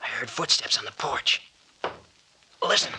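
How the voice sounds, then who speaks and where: A man's voice: a little slow, sharp tone. An actor playing an earnest, alert young man, speaking with clipped urgency in an old-time radio serial. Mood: alarmed. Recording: hissy old radio recording with clicks.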